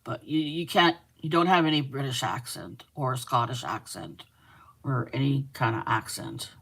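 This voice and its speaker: A woman's voice: a bass voice, moderate pace, fairly bright tone, husky. A middle-aged Canadian woman hosting a live crochet stream, chatting casually, teasing and laughing often while she reads viewer comments aloud. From Manitoba, Canada.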